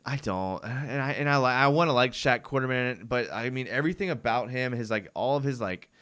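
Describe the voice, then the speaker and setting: A young man with a smooth, clean voice at a middling pitch, chatting loosely on a live stream. He rambles in a relaxed way, full of "dude" and "I mean," and his voice sounds worn down from a long session of talking. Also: brisk. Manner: laid-back, rambling, frequent "dude" and "I mean". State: voice going hoarse from long talking. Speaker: host of a live fan show. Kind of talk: casual live-stream chat.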